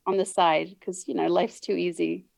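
New Zealand woman, low, dry call audio. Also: normal speed, vocal fry, darkish tone, no room sound. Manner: chatty, laughs often, restarts and repeats words. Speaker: podcast guest. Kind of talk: casual interview chat. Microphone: video call.

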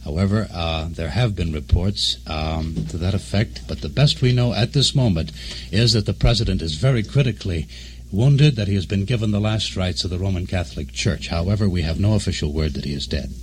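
Husky, low-voiced male radio newsman. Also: brisk. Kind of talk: live unscripted news update. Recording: 1960s radio news broadcast.

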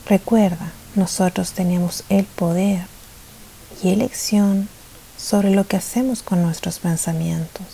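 Very mellow, slow, creaky woman guiding meditation. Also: lowish voice, steady tone, low-quality recording. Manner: soft-spoken, often drifting into breathy near-whisper; soothing, gentle phrasing with pauses. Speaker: meditation guide. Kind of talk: guided meditation. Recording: faint hiss behind the voice.

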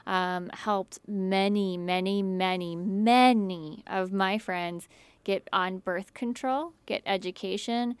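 A woman's voice: mid-range voice, steady tone, takes it slowly, smooth, no echo. A woman hosting a podcast in casual, opinionated chat about politics. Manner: chatty and opinionated. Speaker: podcast host.

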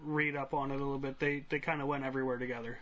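A man co-hosting a podcast, explaining things casually in loose, halting conversational phrases. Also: medium pitch, flat delivery, fairly bright tone. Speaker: podcast co-host. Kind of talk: casual group chat. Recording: clear background noise and room ambience.

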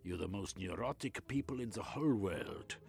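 A man voicing a flamboyant, pushy middle-aged German doctor with a German accent, excitable and lecturing. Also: on the low side, moderate pace, vocal fry, pitched above the performer's own voice.

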